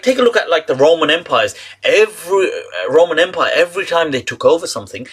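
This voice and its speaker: A man giving a casual lecture as a religious commentator, animated and full of restarts, laughing at the claims he disputes. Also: medium pitch, breathy edge.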